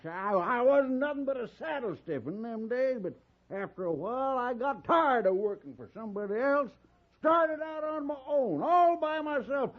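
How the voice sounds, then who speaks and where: An actor playing a loud, boastful, bullying old cattle baron in a radio Western, with a rambling drawl and an American Western accent. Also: male voice, extremely high pitch. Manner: blustering, repeats himself, barks orders. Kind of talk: 1950s Western radio drama acting. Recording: muffled 1950s radio recording.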